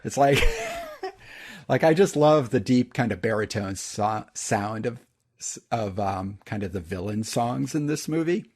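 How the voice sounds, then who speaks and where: Co-host, male, deep baritone-leaning voice, rambling enthusiastic chatter. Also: lively intonation, measured pace, a little mellow, breathy edge. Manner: rambling, enthusiastic, many fillers. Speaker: podcast co-host. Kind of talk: casual chat.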